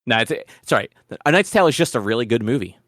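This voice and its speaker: A man's voice: mid-range voice, sing-song, fast talker, a bit bright, no room sound, studio quality. A man co-hosting a podcast, chatting casually about films in a rambling, swearing way. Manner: rambling, profane. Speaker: podcast co-host. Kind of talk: casual film discussion chat.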